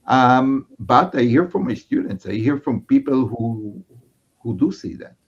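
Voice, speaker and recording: An Israeli man, a native Hebrew speaker, talks as a guest rabbi in reflective conversation. His accent is Israeli and his pitch is average for a man. He speaks haltingly, feeling for each word, on slightly dull, muffled audio. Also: husky. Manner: halting, searching for words.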